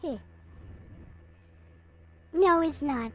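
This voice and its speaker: A woman giving a casual, unscripted show-and-tell as a vlogger, in a halting way as she thinks aloud. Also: sits high, fairly bright tone. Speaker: vlogger showing collectible figures.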